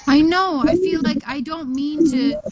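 A woman's voice: high-pitched, measured pace, over a noisy crowd. A woman hosting a casual interview, bubbly and promotional, often laughing. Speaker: interview host.

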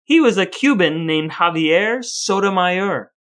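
Man, bright sharp voice, a little high, casual banter, playful mock-serious delivery. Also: expressive, unhurried. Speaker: podcast host.

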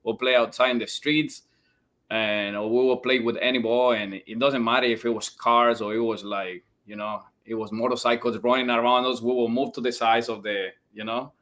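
A man's voice: mid-range voice, steady tone, normal speed, fairly bright tone. A man with a Colombian accent, a native Spanish speaker, telling personal stories casually in loosely phrased English as a podcast guest. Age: 30s. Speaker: podcast guest, former soccer player.

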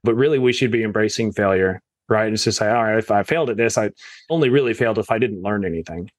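A man's voice: on the low side, quick pace, no echo, studio quality. A man talking casually as a guest on a real estate podcast, leaning on fillers like "you know" and "right?".

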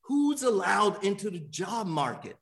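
A man from Miami, a guest author answering interview questions in a thoughtful, halting way with many fillers and restarts. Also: high voice, big pitch swings, a bit bright. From Miami, USA.

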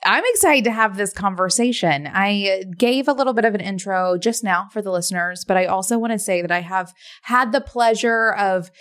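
A woman's voice: a little high, a bit bright. A woman hosting a podcast, reading intros and interviewing a guest in an upbeat, enthusiastic way. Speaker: podcast host. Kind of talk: casual interview and scripted ad reads.

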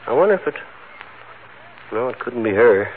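An actor playing a folksy, blustery, vain middle-aged husband in a 1940s radio comedy. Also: male voice, mid-range voice, very animated, normal speed, lightly acted. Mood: puzzled, grumbling. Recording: muffled, noisy old radio broadcast, studio audience.

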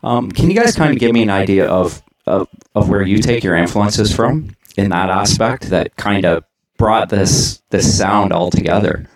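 A man's voice: on the low side, animated, moderate pace, rough recording. A Canadian man hosting a casual interview, laid-back and chuckling, with rambling questions. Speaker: podcast interviewer.

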